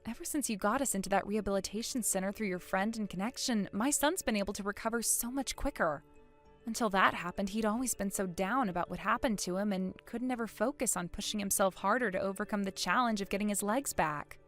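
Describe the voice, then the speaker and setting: A woman plays a fiercely protective mother in a melodramatic story. Her voice is bright and mid-pitched, with earnest, emphatic delivery in long speeches. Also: brisk, faint music behind, close to an everyday voice. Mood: tearful, grateful. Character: fiercely protective, outspoken, emotional mother of a young son. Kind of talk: melodramatic audio story acting.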